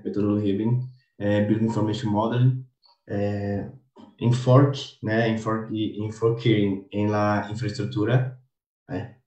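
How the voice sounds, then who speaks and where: A man's voice: fairly low pitch, warm tone. A Brazilian civil engineer on a video call, a man whose first language is Portuguese, who slips between Spanish and Portuguese and keeps asking "né?". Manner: drifts from Spanish into Portuguese, tag "né?". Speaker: civil engineer, panel speaker. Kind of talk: panel presentation on a video call. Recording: roomy, distant video-call audio.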